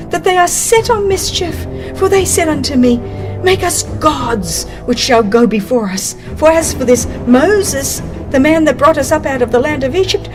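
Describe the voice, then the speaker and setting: A woman's voice: sits high, pitched above the performer's own voice. A woman voicing a guilty man in a dramatized storybook, pleading defensively and evasively with his brother, full of excuses. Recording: music behind the voice.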